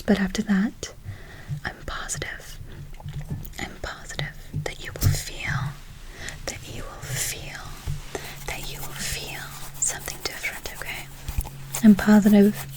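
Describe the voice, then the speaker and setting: A woman playing a gentle woodland witch in a whispered ASMR role play, soothing and affectionate, repeating and lingering on her words. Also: mid-range voice, natural voice, rough recording. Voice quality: soft, breathy whisper. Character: gentle, affectionate woodland witch casting a good luck spell.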